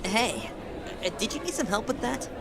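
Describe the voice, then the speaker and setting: A woman's voice: a little high, very animated, normal speed, vocal fry, a loud crowd, very noisy. A woman voicing a friendly, eager, helpful young boy in a sci-fi audio drama, earnest and cheerful.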